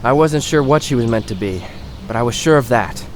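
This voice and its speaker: A steady warrior mentor , played by a man, offers quiet reassurance in a fantasy audio drama. His voice sits at a middle pitch. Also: fairly quick, a little hiss or hum, lightly acted. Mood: reassuring.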